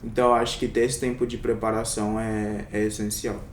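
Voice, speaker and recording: Young adult man from Brazil, interview guest; hesitant and reflective, mid pitch, a bit distant from the mic in a roomy space. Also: little pitch movement, brisk. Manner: reflective, many hesitations and fillers. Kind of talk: casual interview. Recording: echoey room, somewhat off-mic, faint rumble.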